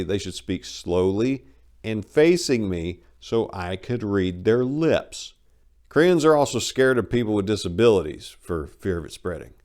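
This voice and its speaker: A man with a fairly low voice narrates a memoir as a dramatic reading. His delivery is theatrical and emphatic, in the role of a host reading to his audience. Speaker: channel host and reader.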